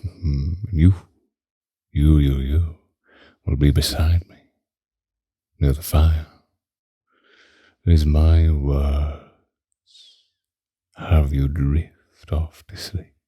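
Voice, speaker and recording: Man playing a very deep, seductive vampire. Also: little pitch movement, dark tone, no echo, studio quality, full character voice. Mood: alluring, dreamy. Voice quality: breathy, husky deep murmur. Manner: languid, archaic, theatrical, with lingering pauses. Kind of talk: ASMR roleplay, whispered close to the ear. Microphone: close microphone, dry.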